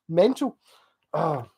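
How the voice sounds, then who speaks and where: A man's voice: a little high, very animated, creaky, a little mellow, breathy edge. Glasgow man, middle-aged, casual rambling chat, talks to camera. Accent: Glasgow Scottish. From Scotland. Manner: rambling, chatty, swears and laughs, slows down when asked. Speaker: streamer reading chat.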